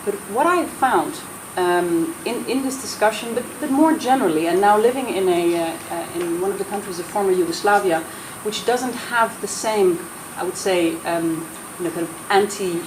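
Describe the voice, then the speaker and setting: A woman's voice: on the low side, normal speed, hardly any pauses. Dutch woman with an American accent. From Netherlands, raised in the US. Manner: earnest, persuasive, many restarts. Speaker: panelist. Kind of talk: roundtable speech. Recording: echoey room with noise.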